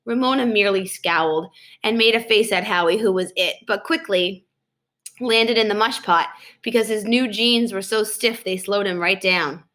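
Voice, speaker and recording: A woman narrating a children's book aloud in an expressive storytelling style. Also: mid-range voice, normal speed. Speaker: storybook reader.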